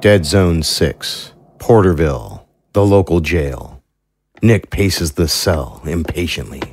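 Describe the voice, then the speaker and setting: A man's voice: low voice, lively intonation, slow pace, a little mellow, a little hiss or hum. A man narrating an audio drama, reading scripted scene introductions. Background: music.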